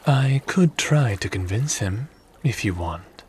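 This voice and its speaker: A man playing a calm, curious, formal god-like spirit in an ASMR audio roleplay, speaking in measured, pensive phrases. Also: fairly low pitch, a little hiss or hum. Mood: concerned.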